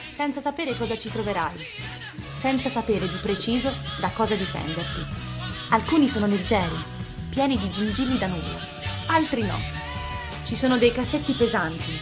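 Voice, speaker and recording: A woman's voice: mid-range voice, animated, moderate pace, close to an everyday voice. A woman playing a reflective narrator who looks back on her past in a scripted dramatic monologue. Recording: music under the voice.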